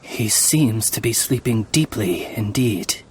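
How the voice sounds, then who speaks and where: A man's voice: lowish voice, lively intonation, slow, slight background noise. Formal, courteous male attendant. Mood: polite, composed. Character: refined, deferential male raven attendant to a princess. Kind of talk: video game character acting.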